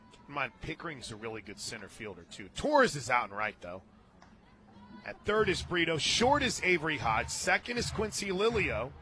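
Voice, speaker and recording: An American man giving live radio play-by-play of a softball game, conversational, with clipped calls of each play. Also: medium pitch. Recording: very noisy outdoor ballpark booth.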